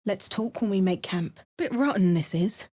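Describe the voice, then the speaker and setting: A woman's voice: medium pitch, animated, normal speed, mellow, close to an everyday voice. A woman voicing a cautious, sardonic adventurer in a fantasy game, delivering short in-game remarks. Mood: wry. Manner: dry, sardonic asides. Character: wry adventuring heroine, dry and cautious. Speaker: player character. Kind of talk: game voice lines.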